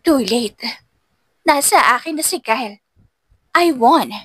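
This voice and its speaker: Woman acting a smug, high-voiced villainess. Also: animated, slow pace, fairly bright tone, husky, no echo, clean studio sound, exaggerated voice. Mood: smug triumph. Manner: smug, gloating. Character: smug, vengeful young woman rival. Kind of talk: audiobook romance melodrama.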